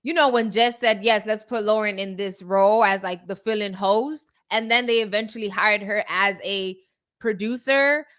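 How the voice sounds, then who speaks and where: A woman's voice: mid-range voice, normal speed, fairly bright tone. A woman co-hosting a podcast, giving her opinions in a direct, conversational way and often checking in with "right?". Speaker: podcast co-host. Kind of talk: casual opinion discussion.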